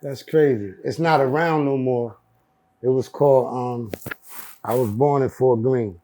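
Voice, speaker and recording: A man's voice: mid-range voice, unhurried, warm tone. A man, a guest on a podcast, telling his story in a laid-back, slangy, rambling way. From Brooklyn, New York. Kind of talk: casual interview storytelling.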